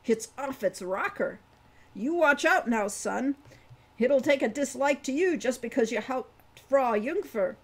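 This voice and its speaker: A man's voice: very high voice, animated, unhurried, a bit bright, voice pushed up, full character voice. A woman voicing a gloomy, folksy old male dwarf who rambles and grumbles, in a storybook reading. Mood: grave, warning.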